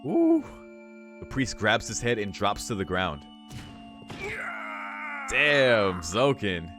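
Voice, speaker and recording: A man reading a visual novel's story aloud for a let's play, breaking into casual, excitable reactions with some swearing. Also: lowish voice, takes it slowly. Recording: music behind the voice.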